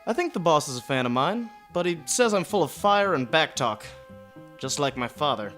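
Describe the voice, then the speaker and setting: A man's voice: a little high, big pitch swings, normal speed, a bit bright, soft background music. A man playing a sullen, cocky, brawny teenage boy in a comic audio drama, huffy and dramatic. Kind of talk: deliberately overdone comic audio drama acting.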